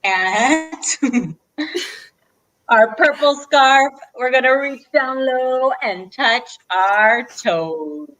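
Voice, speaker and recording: Female children's co-host, high voice, unhurried pace, playful sing-song delivery for little ones. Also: lively intonation, fairly bright tone. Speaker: children's librarian co-hosting story time. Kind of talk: leading a children's movement rhyme.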